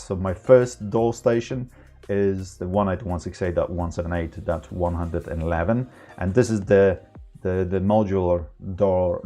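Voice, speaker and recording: A man with a low voice walks through a product tutorial in an informal, unscripted way. His delivery is halting and his phrasing is non-native. Faint music is in the background. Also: warm tone. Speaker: tech tutorial presenter.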